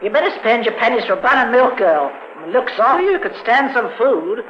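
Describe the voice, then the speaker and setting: An older woman playing a gentle but indignant elderly street flower seller in an old-time radio drama, with exclamatory, unpolished speech. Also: on the high side, very animated, a little fast. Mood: grumbling. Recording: muffled, noisy old radio recording.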